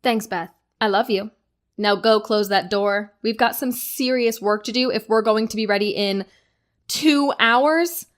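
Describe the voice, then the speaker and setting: An actress playing a stressed but affectionate young bride with a wry, bossy, bantering manner in an audio drama. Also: female voice, fairly high pitch, a little slow, natural voice. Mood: affectionate, frazzled.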